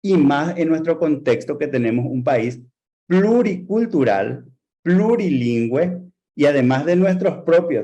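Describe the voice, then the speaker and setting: A man with a Paraguayan Spanish accent speaks in a somewhat raised, mellow tone. He gives an earnest talk to a group of teachers, using rhetorical questions and steady emphasis. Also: a little high, muffled recording. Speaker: lecturer.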